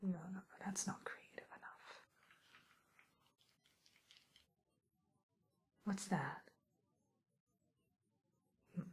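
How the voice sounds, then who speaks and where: A woman playing a wry, teasing witch who scolds an intruder in an ASMR roleplay, speaking softly and often in a whisper. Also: medium pitch, takes it slowly. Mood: teasing menace. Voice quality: soft, breathy, often whispered. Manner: teasing, musing, mock-stern, theatrical.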